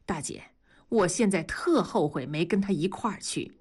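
Sharp-tongued, suspicious wife and mother played by a female narrator, animated, nagging, audio storytelling. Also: fairly low pitch, breathy edge. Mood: regretful. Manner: nagging, quick to accuse.

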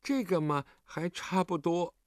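一名男子在有声故事中扮演一个倔强、胆怯又委屈的丧亲小男孩，说话吞吞吐吐。. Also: male voice, high voice, expressive, dry recording, studio quality, voice pushed up. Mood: sulky, defiant. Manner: halting, stammering, plaintive. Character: stubborn, grieving orphan little boy, sulky and timid.

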